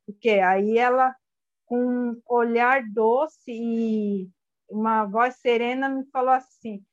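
Soft-spoken woman, lo-fi. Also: a little high. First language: Guarani-Mbyá. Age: 30s. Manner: searching for words, gentle and reflective. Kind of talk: personal testimony. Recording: dull lo-fi audio.

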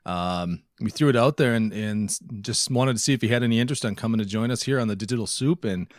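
A man's voice: fairly low pitch, fast talker, no echo, clean studio sound. A man co-hosting a podcast in an easygoing, conversational style. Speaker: podcast co-host. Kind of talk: casual podcast chat.

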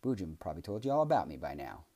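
A man's voice: low voice, very animated, racing, mellow, slightly rough, exaggerated voice. A man voicing a friendly male crow who talks in an easygoing, chatty way.

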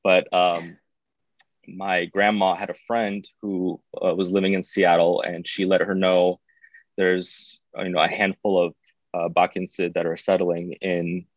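A man in his thirties with a very low voice, speaking as a guest in a casual interview. He talks at an unhurried pace and fills his pauses with frequent filler sounds. The recording is dry and somewhat dull. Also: little pitch movement, no room sound. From born in Baku, raised in the United States. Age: 30s. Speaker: podcast guest.

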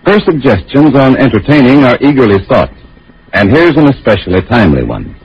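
A male announcer delivers a 1940s radio commercial. His voice is very raspy and highly animated, with polished emphasis, on a dull vintage recording. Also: brisk. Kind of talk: 1940s radio advertisement reading.

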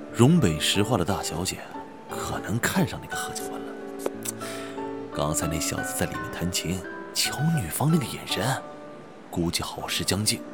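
Teasing young rich guy, lively. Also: fairly low pitch. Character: young rich man, gossipy, teasing loyal friend. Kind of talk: Chinese audio drama acting.

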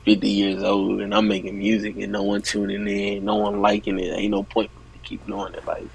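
A teenage rapper from Richmond, Virginia giving short, slangy, laid-back answers and calling people bro a lot. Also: male voice, lowish voice, completely flat delivery, a little fast, gravelly, breathy edge, rough recording. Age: teens. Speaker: rapper guest. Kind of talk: casual interview answers. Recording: faint background noise.

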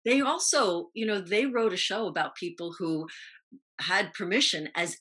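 A woman from New York with an American accent, speaking reflectively as an interview guest in quick, clipped phrases. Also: mid-range voice, a bit bright, husky. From New York, United States. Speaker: guest, actress recalling TV roles.

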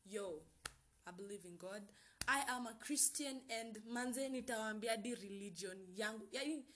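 A woman's voice: on the high side, moderate pace, fairly bright tone. A young woman with a Kenyan accent hosting her own podcast, talking in a bubbly, hyped, chatty way and mixing in Swahili slang. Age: 20s. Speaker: podcast host. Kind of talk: casual solo podcast intro.

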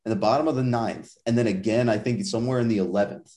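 Young male podcast co-host, lowish voice, casual and dry. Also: fast talker, warm tone, a little hiss or hum. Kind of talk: casual sports podcast chat.

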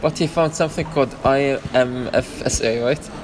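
A man's voice: medium pitch, normal speed, a crowd in the background, low-quality recording. A man hosting a podcast in casual chat, joking and teasing his guest. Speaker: podcast host.

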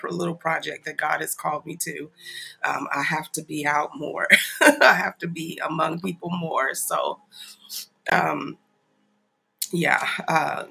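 A man's voice: a little high, gravelly, sharp tone, husky. A man with a congested, nasal voice talks casually and informally to followers as the host of an online prayer ministry livestream. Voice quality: nasal, stuffy. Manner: informal, apologetic, chuckling asides. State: congested from a stuffy nose.

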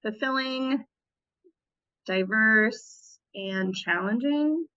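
A woman in her thirties answering interview questions about her volunteer years, thoughtful, pausing and restarting often. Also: fairly high pitch, pushed and bright, dull audio. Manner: reflective, with frequent restarts and fillers. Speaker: interview guest, former Peace Corps volunteer.

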